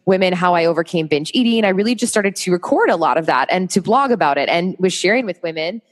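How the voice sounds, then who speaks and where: A woman's voice: fairly low pitch, lively intonation, quick pace, big-room sound. A young woman with a Chicago accent, a business coach guest speaking in a chatty, candid way. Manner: chatty, candid, laughs easily. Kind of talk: casual interview.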